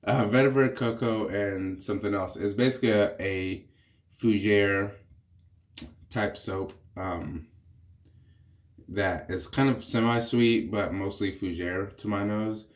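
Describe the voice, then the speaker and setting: Low-voiced congested man in echoey bathroom. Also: unhurried. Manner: relaxed, rambling, explains while shaving. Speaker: wet-shaving reviewer. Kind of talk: casual vlog commentary. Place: small bathroom.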